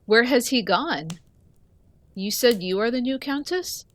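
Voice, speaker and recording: A woman's voice: a little high, expressive, measured pace, fairly bright tone. A woman playing an earnest, wary monster hunter in a fantasy audio drama, guarded and respectful in manner. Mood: wary, questioning.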